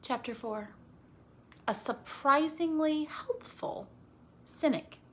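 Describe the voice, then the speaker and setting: A woman reading a children's story aloud dramatically, stretching key words and dropping into hushed, chatty asides. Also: high voice, takes it slowly, slight background noise.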